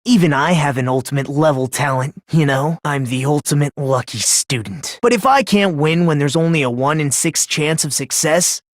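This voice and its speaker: An actor voicing a frail-sounding, self-deprecating teenage boy obsessed with hope in a video game, soft and breathy, polite yet theatrical and unhinged. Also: mid-range voice, normal speed, few pauses, dry recording, clean studio sound, lightly acted. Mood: playful, whiny. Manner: polite, theatrical, swinging from gentle to fervent. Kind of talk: English video game voice acting.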